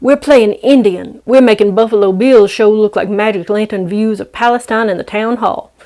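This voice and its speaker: A woman's voice: medium pitch, normal speed, dark tone, close to an everyday voice. A woman voicing a battered, folksy male small-time kidnapper in a dramatic story reading. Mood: wry, weary.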